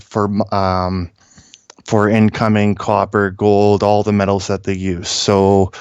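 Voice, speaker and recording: A man with a fairly low, smooth voice in a dry, close sound gives informal news commentary as a guest. He talks haltingly, filling gaps with ums, and states his opinions bluntly. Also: no room sound. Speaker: guest caller, mining industry technician.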